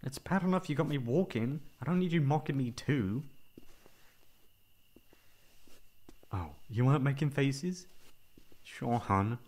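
A young man playing a caring, mildly exasperated boyfriend in an ASMR roleplay, coaxing and teasing with breathy sighs. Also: mid-range voice, normal speed, darkish tone, natural voice.

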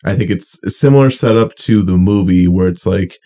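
A young man with a slightly low voice chatting on a podcast. He rambles as he talks and keeps filling pauses with "like.". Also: dark tone. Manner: rambling, full of "like". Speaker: podcast co-host. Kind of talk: casual chat.

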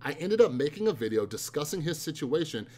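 A man in his thirties reading a chapter of his own book aloud in a steady, subdued tone. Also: fairly high pitch, fairly quick. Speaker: author.

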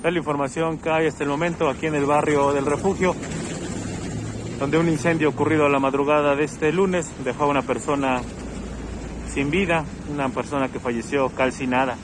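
A man giving a steady, factual live news report on location outdoors, with a few fillers. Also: a little high, brisk. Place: outdoors on a city street. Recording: noisy outdoor street recording. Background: street traffic noise.

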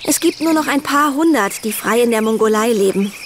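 Teenage girl played by a woman, bright-spirited and horse-mad, high-pitched, for a children's radio play. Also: lively intonation, a little fast, a little hiss or hum, lightly acted. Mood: cheerful, eager. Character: cheerful horse-loving teenage girl detective. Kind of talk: children's audio drama acting.

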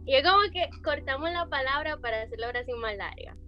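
A woman's voice: sits high, expressive, dull audio, soft background music. A young woman from the Dominican Republic with a Dominican accent, chatting on a video call from her bedroom and explaining slang. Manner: rapid, laughs often, explains slang. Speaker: guest. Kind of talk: casual video chat. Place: bedroom at home.

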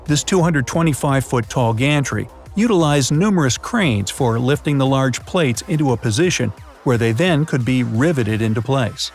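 Man narrating an explainer over soft music. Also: medium pitch, lively intonation, moderate pace, hardly any pauses. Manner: playful asides and jokes. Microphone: studio voice-over. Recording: faint background music.

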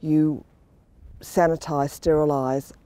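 A woman's voice: low-pitched, fairly even intonation, takes it slowly, warm tone, dry recording. A middle-aged woman explaining a technical subject in an interview, speaking outdoors. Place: outdoors in the open. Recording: outdoor interview with background noise.

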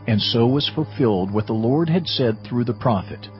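A man narrates scripture in a measured, formal way at a medium pitch. The recording is slightly dull, with soft music underneath. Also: expressive. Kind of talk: scripted narration of dramatized Bible.

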